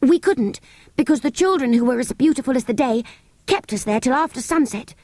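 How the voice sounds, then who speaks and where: A woman's voice: sits high, expressive, brisk, a little mellow, dry recording, pitched above the performer's own voice. A woman voicing an earnest, pleading young girl in a children's storybook reading. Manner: pleading, eager, emphatic.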